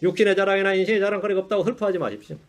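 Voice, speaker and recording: A male pastor giving a Sunday sermon in a church, conversational and self-deprecating, often tossing in quick rhetorical asides to the congregation. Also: high voice. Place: church sanctuary.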